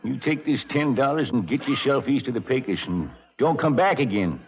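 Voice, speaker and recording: Man playing a gravelly, blustering old frontier judge. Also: mid-range voice, animated, fairly quick, slight background noise, close to an everyday voice. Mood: stern, sly. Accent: American Western drawl. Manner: folksy, bombastic, self-important. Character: blustering, folksy self-appointed frontier saloon judge, older man. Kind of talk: old-time radio drama acting. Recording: muffled vintage radio recording.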